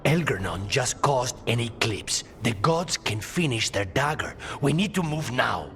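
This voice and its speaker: A man's voice: medium pitch, very animated, a little slow, a bit bright, faint music behind. A man playing an urgent ally who brings dire warnings in a video game cutscene. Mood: alarmed.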